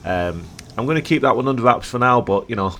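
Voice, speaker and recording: A man with a croaky voice from a cold, giving playful, jokey commentary over gameplay as a video host. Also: medium pitch, expressive, quick pace, fairly bright tone, a little hiss or hum.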